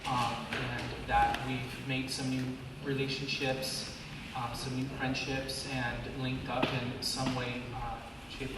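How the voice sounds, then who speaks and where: A man from Mexico making announcements to an audience, hesitant and full of fillers, a bit nervous. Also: mid-range voice. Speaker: event organizer. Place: large indoor event hall. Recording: echoey hall, mic far off, room noise.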